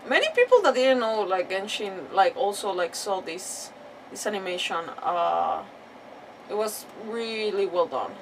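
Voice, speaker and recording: A woman's voice: medium pitch, expressive, a little slow, far from the mic, a little hiss or hum, poor audio. A woman game streamer chatting casually on a livestream, scattered and exclamatory, often repeating words.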